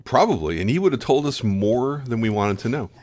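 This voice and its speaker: A man's voice: medium pitch, animated, a little fast, a little mellow, dry recording. A man co-hosting a podcast in casual chat, with a dry, wry humor and a disc-jockey style of introducing topics. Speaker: podcast co-host. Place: home studio, recorded locally over Skype.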